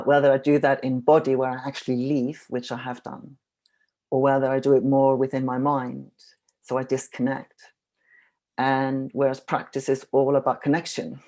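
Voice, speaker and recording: A panelist from Iceland speaking slowly and thoughtfully on a video call, pausing often. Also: mid-range voice, darkish tone. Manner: thoughtful, many pauses. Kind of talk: reflective discussion.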